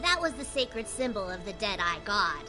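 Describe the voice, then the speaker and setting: A woman playing a blind hooded cultist in a video game, earnest and reverent with a dry deadpan. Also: mid-range voice, normal speed, over music, natural voice.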